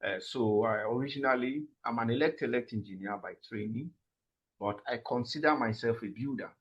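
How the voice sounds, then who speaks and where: A man from Nigeria answering interview questions in a measured way, with frequent filler sounds. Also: medium pitch, slightly rough, dull audio. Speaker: guest, summit president.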